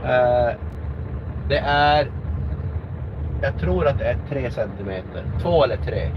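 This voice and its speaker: A man's voice: medium pitch, expressive. Man, Swedish accent, snowplow driver, casual chatter in the cab, fillers and restarts, muffled recording. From Sweden. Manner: halting, searching for words, many fillers. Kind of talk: casual vlog while driving. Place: inside a snowplow truck cab at night. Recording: muffled lo-fi audio with loud engine noise. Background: loud truck engine.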